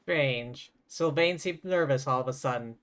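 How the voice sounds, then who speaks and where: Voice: mid-range voice, moderate pace, fairly bright tone, a little hiss or hum. A game streamer giving casual live commentary in short, wry asides.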